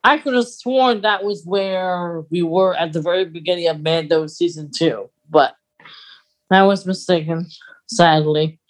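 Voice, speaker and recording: A woman's voice: fairly low pitch, a little slow, poor audio. A woman co-hosting a podcast, talking casually as part of a review conversation. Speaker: podcast co-host. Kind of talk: casual review chat about a radio drama.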